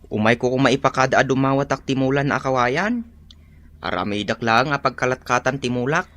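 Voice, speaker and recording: An actress playing a polite, helpful cousin in a comedy radio drama, with an Ilocano accent. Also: female voice, rumbling low, fairly quick, a bit bright. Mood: friendly, polite.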